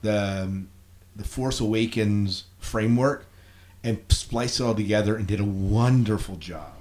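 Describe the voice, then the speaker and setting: A man's voice: on the low side, unhurried, a little mellow. An American man hosting a podcast, chatting casually in an easygoing, agreeable way. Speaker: podcast host. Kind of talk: casual chat.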